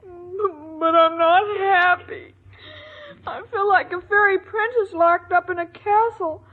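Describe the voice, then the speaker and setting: Lovesick naive young woman, slow delivery, earnest, girlish, 1940s radio comedy, muffled old recording. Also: fairly even intonation. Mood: miserable, pining. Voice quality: high girlish voice. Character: dim, sweet, lovesick young woman engaged to marry. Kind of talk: 1940s radio sitcom acting.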